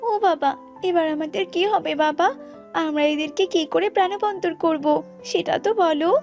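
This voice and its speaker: A woman's voice: very high voice, fairly even intonation, normal speed, a little mellow, dull audio, soft background music. A woman playing a young bird princess in a cartoon, dutiful but brave, earnest and forthright. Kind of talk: cartoon voice acting.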